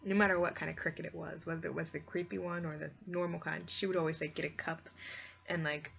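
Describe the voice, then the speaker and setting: A woman chatting casually and expressively like a video blogger, rambling along with "like" sprinkled through her sentences. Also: deep voice. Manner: expressive, squeamish, exclaims in disgust; heavy use of "like" as a filler. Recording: dull lo-fi sound with clear background noise.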